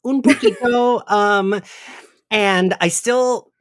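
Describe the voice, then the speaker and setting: A man hosting a podcast in casual chat, with an animated, self-deprecating manner. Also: high-pitched, slow, no room sound, studio quality. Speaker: podcast host.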